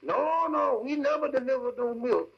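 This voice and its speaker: An actor playing a simple, earnest, literal-minded country cab driver in a 1940s radio comedy. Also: male voice, very high-pitched, moderate pace, vocal fry, husky, heavily acted. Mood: earnest, matter-of-fact. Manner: folksy, literal-minded, ungrammatical. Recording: muffled old 1940s radio recording.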